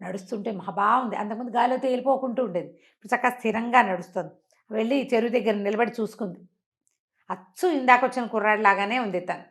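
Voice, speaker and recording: A woman's voice: fairly high pitch, fast talker. A woman telling a bedtime folktale as a storyteller, in a conversational way with phrases repeated for effect. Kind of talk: oral bedtime folktale narration.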